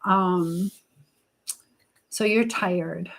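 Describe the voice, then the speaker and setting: A woman hosting a live chat, speaking earnestly and haltingly with pauses as she gives advice and encouragement to viewers. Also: on the low side, steady tone, poor audio. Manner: religious encouragement. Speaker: live stream host.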